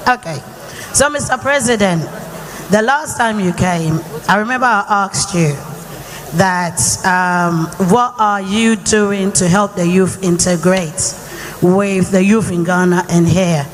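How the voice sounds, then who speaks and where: A woman's voice: medium pitch, takes it slowly. A woman asking a long, rambling question at a town hall, often checking in with "yeah?". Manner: chatty, rambling, with tag questions. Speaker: youth advocate asking a question. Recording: hall reverb.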